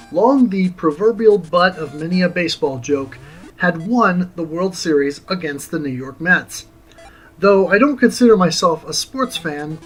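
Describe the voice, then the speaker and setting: A middle-aged man with an American accent hosts a scripted game review as a dramatic reading. His voice sits a little high for a man, and his delivery is deliberate and theatrical. Soft music plays beneath him. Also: low-quality recording. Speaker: host of a retro game review series. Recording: music under the voice.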